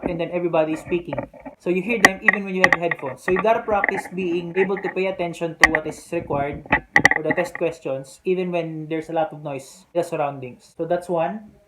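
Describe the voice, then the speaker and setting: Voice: medium pitch, steady tone, brisk. A native Filipino speaker with a Filipino accent, casually explaining practical test tips to the camera. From Philippines. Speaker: vlogger giving test tips. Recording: clear background noise.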